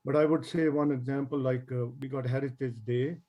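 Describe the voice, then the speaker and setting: Male candidate, halting and informal, muffled audio. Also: mid-range voice, steady tone. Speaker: council candidate. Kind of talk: candidate speech at a forum.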